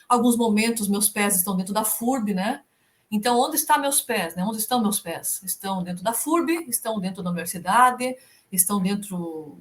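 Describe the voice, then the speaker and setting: Female guest, middle-aged, storytelling professor. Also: mid-range voice. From Rio Negrinho, southern Brazil. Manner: warm storytelling, frequent "né?" tags. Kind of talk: casual interview chat.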